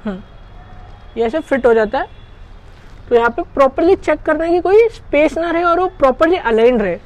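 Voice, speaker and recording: A woman's voice: high voice, animated, normal speed, darkish tone, low-quality recording. A woman casually walking through a hands-on product installation, chatting as she works step by step. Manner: chatty, explains hands-on while working. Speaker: gear reviewer.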